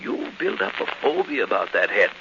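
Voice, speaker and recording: A man's voice: fairly low pitch, very animated, fairly quick, gravelly, background noise. A man playing a falsely kindly middle-aged uncle in 1940s radio drama, fussy, paternal and coaxing. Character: smooth-talking, falsely kindly older uncle. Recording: muffled old 1940s radio recording.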